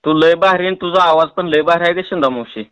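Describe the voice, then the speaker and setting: A man's voice: on the high side, a little fast, fairly bright tone, clear, resonant tone, no echo. A man with a rural Marathi accent making a prank phone call, teasing and coaxing in a playful, pestering way. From Tuljapur, Maharashtra, India. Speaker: prank caller. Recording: close, dry phone call recording.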